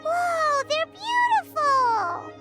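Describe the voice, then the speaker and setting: A woman voicing a small, excitable childlike fairy companion in a game, who talks about herself in the third person. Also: very high voice, very slow, soft background music, full character voice. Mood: amazed.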